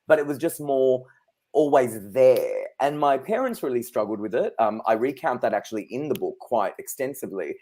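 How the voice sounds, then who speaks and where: A man in his thirties talks as a guest in a relaxed interview. He tells long personal stories in a chatty, anecdotal way, with easy laughs between them. His voice sits at a middling pitch. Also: normal speed. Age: 30s. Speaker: psychic guest. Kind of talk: casual interview chat.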